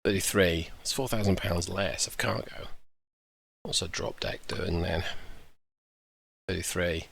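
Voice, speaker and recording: A man hosting his gaming channel, chatting casually through his gameplay and thinking aloud as he goes, with a croaky voice. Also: sits low, fairly even intonation, measured pace, fairly bright tone. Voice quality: croaky, gravelly.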